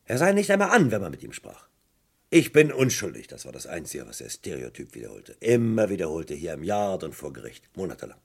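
An actor playing a dogged, insistent British police detective in a German radio crime drama, theatrical and emphatic, with a gravelly voice. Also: male voice, sits low, wildly swooping pitch, brisk, darkish tone, full character voice. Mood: bitter, reflective.

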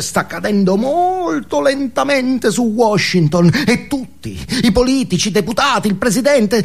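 An actor playing a bitter, foul-mouthed male drug addict, ranting crudely in a theatrical comic monologue. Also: very high voice. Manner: ranting, crude, swinging from mutter to shriek. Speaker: stage actor performing monologues.

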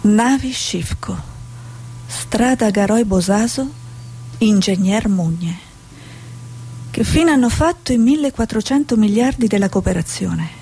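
An actress playing a haunted, searching woman journalist in a radio drama, with a breathy voice. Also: medium pitch, expressive, measured pace, pauses often, warm tone, slight background noise. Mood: brooding, investigative. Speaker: actor in a radio play. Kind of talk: dramatic stage monologue and dialogue.

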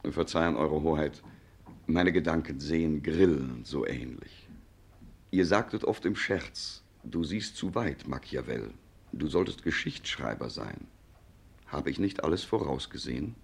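An actor playing a loyal, frank secretary to a regent in a 1940s German radio play, deferential and deliberate in formal diction. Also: male voice, a bass voice, animated, gravelly, slightly rough, exaggerated voice.

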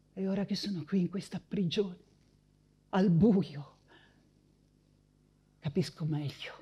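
An actress playing an imprisoned, nostalgic Russian exile writer in an intense, halting theatrical monologue, repeating words for emphasis. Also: female voice, medium pitch, dark tone, husky, lo-fi sound. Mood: fearful, sorrowful. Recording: music under the voice. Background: music.

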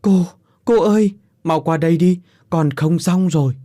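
A man voicing a loyal young man who calls out in urgent, strained shouts. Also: a little high, measured pace, no echo, studio quality, voice pushed up, natural voice. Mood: urgent desperate.

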